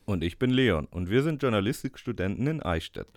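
A young man co-hosts a student podcast, easygoing and quick to laugh. His voice sits on the low side, recorded close and dry. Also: no room sound. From Bavaria, Germany. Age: young adult. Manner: relaxed, laughs easily. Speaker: podcast co-host, journalism student. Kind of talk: casual scripted podcast chat.

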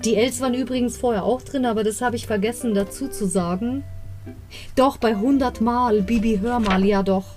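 A woman's voice: on the high side, normal speed, a little mellow. A young woman casually retelling and commenting on an audio drama episode, chatty and prone to side stories and self-corrections. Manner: chatty, rambling asides, corrects herself mid-story. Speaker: podcast host reviewing an audio drama episode. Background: faint guitar music.

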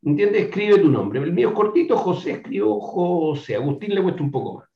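A man's voice: high-pitched, very animated, a little fast, vocal fry, warm tone. A man hosting a casual interview, playful and joking, who turns to the audience directly. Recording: echoey, dull lo-fi room sound.

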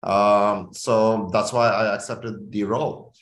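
Echoey, low-voiced man from India. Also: fairly even intonation, takes it slowly. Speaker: company chief executive, interview guest. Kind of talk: conversational business interview. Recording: echoey room.

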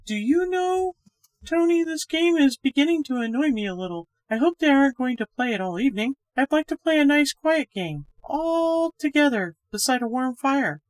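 A woman's voice: sits high, expressive, moderate pace, darkish tone, dry recording, voice pushed up, rough recording. A woman voicing a friendly, chatty young woman who is uneasy but bold, in a ghost story. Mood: bright, annoyed. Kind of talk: ghost story character acting.

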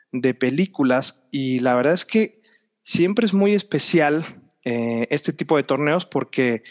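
A man with a Mexican accent hosting a podcast in a chatty, rambling way, drawing out words for emphasis. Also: medium pitch. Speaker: podcast host. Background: background music.

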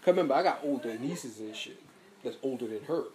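A man in his early thirties chatting loosely with a friend, full of slang and quick asides. His pitch rises and falls in lively swings. A faint background hum sits under the voice. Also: rough recording. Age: 30s. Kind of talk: casual chat.

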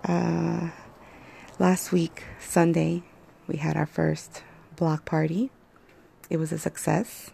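Hoarse, gravelly woman on a phone mic. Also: deep voice, flat delivery, takes it slowly, long pauses, dark tone. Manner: rambling, hesitant, many fillers. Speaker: podcast host. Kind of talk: casual solo podcast chat.

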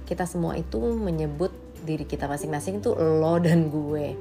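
A woman's voice: low-pitched, moderate pace, music in the background. A woman in her late twenties from Indonesia giving a casual, candid monologue, blunt and slangy, with English phrases mixed in. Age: late 20s.

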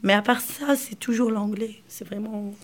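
A woman from Morocco, whose first language is Arabic, answers interview questions in a halting, friendly way. She repeats words and restarts her phrases, breaking into laughs often. Her voice sits at an ordinary middle pitch. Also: steady tone. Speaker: interview guest. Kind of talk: casual interview chat.

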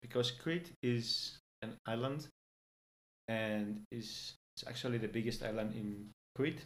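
A young Greek man speaking English as a second language, relaxed and hesitant, with frequent fillers and restarts. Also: medium pitch, measured pace. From Greece. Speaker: guest. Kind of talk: casual interview in English.

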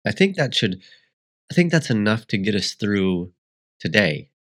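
A man's voice: on the low side, sing-song, unhurried, a bit bright. A man hosting a solo podcast, congested and sniffly, talking in a rambling, reflective way with frequent restarts. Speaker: podcast host.

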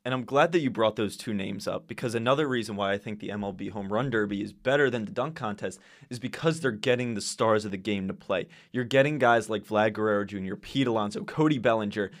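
A young man hosting a casual sports podcast, introducing segments in a measured way, with a touch of sarcasm. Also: lowish voice. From Arizona. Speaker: podcast host.

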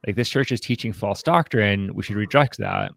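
A man hosting a podcast, discussing in a casual way, haltingly, often repeating words and saying "like". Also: fairly low pitch, creaky, no room sound, studio quality. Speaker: podcast host.

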